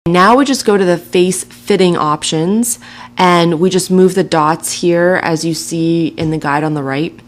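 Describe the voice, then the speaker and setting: A woman narrating a software tutorial in a casual, chatty way, laughing lightly now and then. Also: on the low side, unhurried. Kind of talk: screen-recording tutorial narration.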